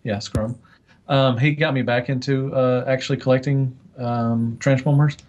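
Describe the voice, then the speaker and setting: Casting: male podcast panelist, average pitch, informal and rambling delivery. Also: fairly even intonation, normal speed, darkish tone. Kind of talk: casual chat.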